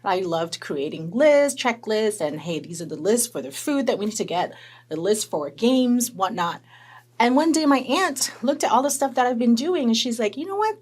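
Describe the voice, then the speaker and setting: A woman who grew up in Hong Kong, a guest telling her story in a conversational interview with lively, animated storytelling. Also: fairly high pitch, normal speed, breathy edge.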